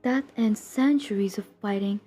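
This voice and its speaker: A woman playing a centuries-old, guilt-ridden but determined vampire hunter in an intimate audio roleplay, speaking in a hushed, confessional, breathy voice that often drops to a whisper. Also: medium pitch, faint music behind, close to an everyday voice. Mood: grim, determined. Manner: hushed, confessional, halting, earnest. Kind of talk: intimate dramatic audio roleplay addressed to the listener.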